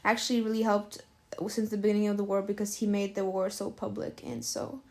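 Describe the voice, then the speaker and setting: A woman's voice: mid-range voice, flat delivery, a little fast, darkish tone. A young woman from Ukraine, a Russian speaker, answering interview questions in casual, hesitant speech with many fillers.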